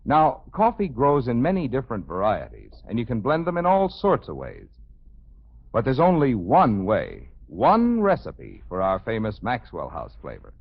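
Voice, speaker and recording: A man's voice: mid-range voice, wildly swooping pitch, moderate pace, gravelly, slightly rough. A man delivering a 1950s radio sponsor message as announcer, with emphatic, folksy salesmanship. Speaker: commercial announcer. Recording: dull vintage 1950s radio recording.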